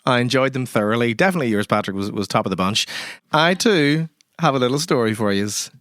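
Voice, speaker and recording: A man's voice: medium pitch, lively intonation, fast talker, a bit bright, no room sound, studio quality. An Irish man narrating a tabletop game as game master, in a folksy, wry way that speaks straight to the listener, with music behind him. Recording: music under the voice.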